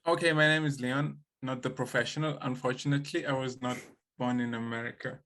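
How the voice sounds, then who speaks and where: A young man co-hosting a podcast, speaking hesitantly and softly in casual chat. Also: medium pitch, steady tone, brisk. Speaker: podcast co-host.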